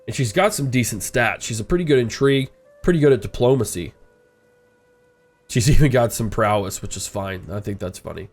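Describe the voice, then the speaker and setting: A man's voice: medium pitch, a little fast, soft background music. A congested man with a stuffed-up nose, casually commenting as he plays a strategy game and thinking aloud. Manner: rambling, thinking aloud, frequent uh fillers. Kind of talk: casual let's-play commentary.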